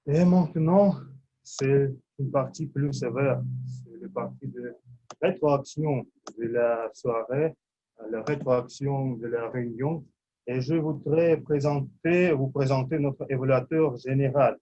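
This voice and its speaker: A man hosting a speaking club meeting, introducing speakers in halting speech full of fillers. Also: mid-range voice, mellow, slightly rough, dull audio. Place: meeting in front of an audience.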